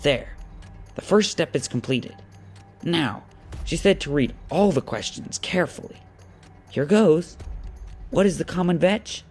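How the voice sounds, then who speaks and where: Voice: medium pitch, lively intonation, unhurried, long pauses, darkish tone, faint music behind, close to an everyday voice. A performer playing an anxious, earnest young boy thinking aloud during an exam in an audio drama. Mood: determined, puzzled. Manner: muttering to himself, earnest.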